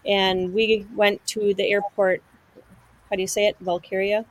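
A woman's voice: medium pitch, little pitch movement, unhurried, low-quality recording. A woman hosting a casual live-stream talk show, chatty and friendly as she introduces and questions her guest. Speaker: show host.

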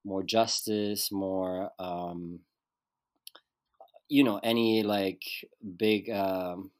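A man's voice: low-pitched, steady tone, drawn-out words, darkish tone, smooth, no echo, clean studio sound. A man from Switzerland, a guest actor talking through his life in a thoughtful, searching way. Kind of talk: casual conversational interview.

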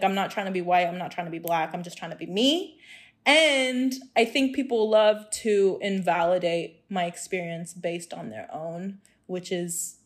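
A young woman from Hong Kong talking in a casual group chat, outspoken and animated, laughing often. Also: lowish voice.

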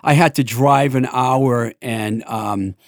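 A man's voice: medium pitch, slow pace, no room sound, clean studio sound. A man hosting a casual interview podcast, rambling and chatty. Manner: rambling, chatty, laughs easily. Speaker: podcast host.

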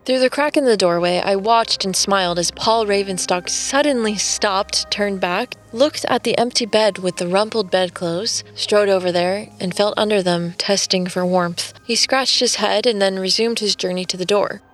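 A woman's voice: medium pitch, moderate pace, hardly any pauses, soft background music, close to an everyday voice. An actress playing a wry, curious American woman, telling her story as conversational first-person audio drama narration. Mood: amused, watchful. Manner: wry, musing.

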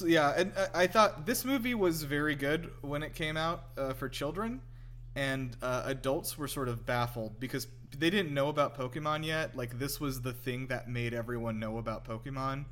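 A man's voice: mid-range voice, a bit bright. A man hosting a casual film commentary chat, rambling and somewhat hesitant as he talks. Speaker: podcast host.

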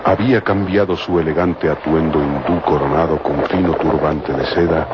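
A man as the grave, dramatic storyteller of an old radio adventure serial. His voice is very low. Loud music plays behind him on a dull, muffled recording. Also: close to an everyday voice. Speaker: narrator.

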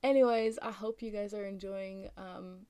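A young American woman rambles through her solo podcast in a mellow, warm tone that sounds a little raspy from just waking up. Her voice sits at a middle pitch and is recorded dry and close, with no room around it. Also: fairly even intonation, moderate pace, no room sound. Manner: rambling, chatty, frequent ums and likes. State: slightly raspy morning voice. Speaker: podcast host. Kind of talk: casual solo podcast chat.

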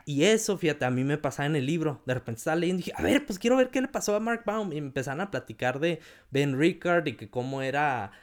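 A man with a Mexican accent in a casual film chat, animated, often quoting people in a raised voice. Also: a little high, fast, hardly any pauses. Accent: Mexican Spanish.

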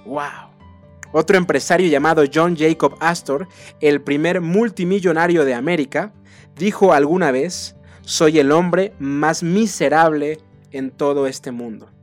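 A Mexican man hosting a motivational podcast, speaking earnestly and asking the listener rhetorical questions. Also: on the high side. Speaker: podcast host.

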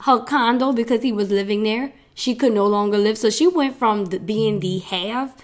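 A woman's voice: fairly high pitch, normal speed. A woman hosting her own podcast, talking in a chatty, rambling way with frequent laughs while she is losing her voice. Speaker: podcast host. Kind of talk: casual solo podcast talk.

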